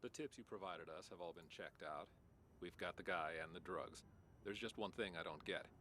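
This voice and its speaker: A stern male police detective in an interactive audio drama. His voice is very deep, and he questions in a deliberate, skeptical, sardonic way. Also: bright, forceful tone, a little hiss or hum. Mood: probing.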